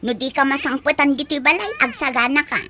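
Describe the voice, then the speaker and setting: A woman's voice: sits high, lively intonation, brisk, sharp tone, a little hiss or hum. A woman playing a jealous, sweet-talking Ilocano wife in a comedic radio drama, coaxing and affectionate. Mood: possessive, affectionate.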